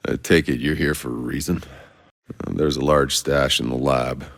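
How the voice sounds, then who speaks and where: A man voicing a gruff, sardonic, battle-hardened soldier hero in a video game, with a terse, dry delivery. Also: rumbling low, steady tone, normal speed, dry recording, exaggerated voice. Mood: curt, guarded. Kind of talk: video game character voice lines.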